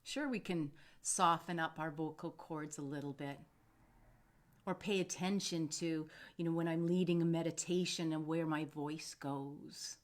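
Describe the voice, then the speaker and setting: A woman speaking off the cuff as a meditation guide, soothing and unhurried, meandering between pauses. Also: low-pitched, fairly even intonation. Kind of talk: unscripted live talk and guided meditation.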